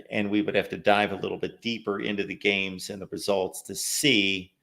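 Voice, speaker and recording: Low gravelly guy hosting a sports call-in show, bit raspy from no sleep, just chatting through it. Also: fairly bright tone. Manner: reads viewer comments aloud, conversational analysis. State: slightly raspy from lack of sleep. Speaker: host. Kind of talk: live call-in sports talk.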